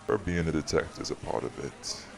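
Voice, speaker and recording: An extremely low male voice with plenty of gravel, a man acting a vampire who rules a city. He is measured and wry, weary yet protective, with dry teasing in an audio drama. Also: a crowd in the background. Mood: wry, fond.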